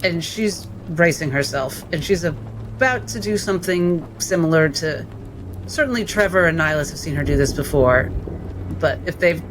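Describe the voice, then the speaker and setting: A woman's voice: low voice, moderate pace, noisy background. A woman playing a tabletop role-playing game, narrating what her character does. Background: music.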